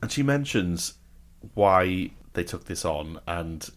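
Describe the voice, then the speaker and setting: Podcast host, very low voice, self-mocking storyteller, a bit rough. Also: male voice, animated, takes it slowly, slight background noise. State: a bit rough after a late night. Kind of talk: casual podcast chat.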